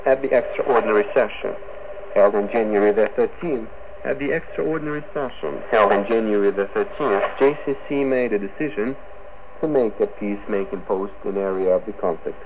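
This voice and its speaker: A man's voice: on the low side, fairly quick, background noise. Eastern European-accented man on muffled shortwave radio. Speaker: radio newsreader. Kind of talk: scripted news bulletin reading.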